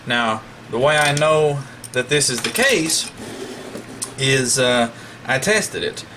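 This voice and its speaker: A man's voice: mid-range voice, slow, background noise. A man talking casually through a hands-on electronics repair, explaining step by step in a folksy way. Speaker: hobbyist radio repairer making a demonstration video.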